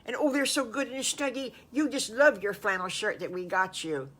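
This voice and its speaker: Woman as an eager, bright-voiced little storybook pig. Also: fairly high pitch, moderate pace, pitched above the performer's own voice. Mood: reassuring. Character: eager, friendly young storybook pig playmate.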